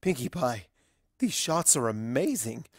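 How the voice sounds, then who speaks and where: Woman playing a tipsy, grandiose low-voiced pony queen. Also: big pitch swings, a little slow, dry recording, clean studio sound, exaggerated voice. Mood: grandiose, drunk. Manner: pompous, theatrical, drunken. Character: Celestia, grandiose, tipsy regal mother pony princess. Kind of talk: acted fan fiction dialogue.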